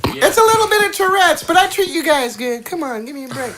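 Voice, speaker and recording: A woman's voice: extremely high pitch, lively intonation, a little fast, a crowd in the background. A woman playing a crude, cheeky toy puppet princess with brash, giggly delivery in an improvised comedic audio drama. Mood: brash, teasing. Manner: brash, foul-mouthed, giggly.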